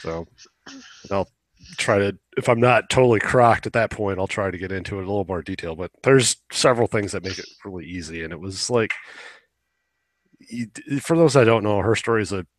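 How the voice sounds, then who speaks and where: Man, young adult, low voice, dry close sound, rambling casual podcast chat, opinionated. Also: a little fast, dry recording. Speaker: podcast co-host. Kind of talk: casual chat about video games.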